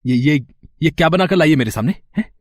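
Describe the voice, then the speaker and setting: A man's voice: a little high, expressive, fast, no echo, clean studio sound. An actor voicing an arrogant, spoiled young rich husband who scorns his wife in a cartoon story. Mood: scornful. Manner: scornful, harsh, shouting insults. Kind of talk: cartoon voice acting.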